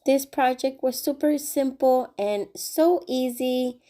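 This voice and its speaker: A woman's voice: high voice, slow, mellow, soft background music. A woman hosting a craft channel, cheerfully talking through each step in a voice-over while getting over a cold. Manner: cheerful, chatty step-by-step explaining. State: recovering from a cold.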